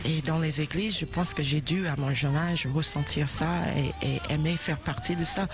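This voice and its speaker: A woman from South Carolina with an American accent, answering interview questions in French. She is thoughtful and hesitant, with many fillers and restarts. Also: low voice. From South Carolina, USA. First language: English. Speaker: singer, interview guest.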